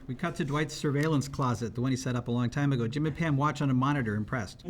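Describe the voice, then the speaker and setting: A man's voice: medium pitch, fast talker. A man narrating scripted stage directions at a live table read.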